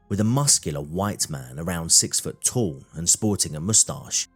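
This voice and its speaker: A man narrating a true crime story from a script, in a measured documentary style. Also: sits low.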